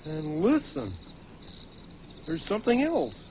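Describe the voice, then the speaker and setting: A man's voice: a little high, wildly swooping pitch, takes it slowly, background noise, exaggerated voice. A man voicing a chatty, eager, pestering puppet camper in a comedy sketch, playful and full of questions. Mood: eager, curious.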